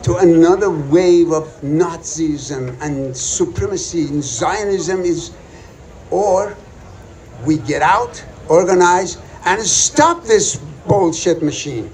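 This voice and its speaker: A man giving a fiery political speech at an outdoor protest, with rhetorical questions and deliberate phrasing. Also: sits high, a little mellow. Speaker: rally speaker. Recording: outdoor rally with faint noise.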